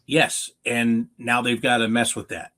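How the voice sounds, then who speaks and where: A man's voice: mid-range voice, little pitch movement, a little slow, bright, forceful tone. A North American man giving unscripted sports analysis as a guest, emphatic, often posing questions and answering them himself. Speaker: hockey play-by-play announcer as guest analyst.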